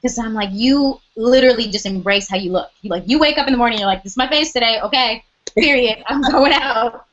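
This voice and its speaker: A young adult woman with a loud speaking voice, chatting animatedly as an interview guest, full of "like" and quoted asides. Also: on the high side, a little fast, dull audio. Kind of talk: casual interview chat.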